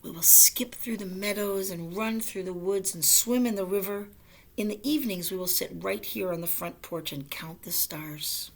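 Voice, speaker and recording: A woman voicing an eager, excitable frog friend in a children's storybook, coaxing and calling out. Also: medium pitch, normal speed, creaky. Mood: enthusiastic. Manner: exclaiming, coaxing, shouting calls. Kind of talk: children's storybook character reading.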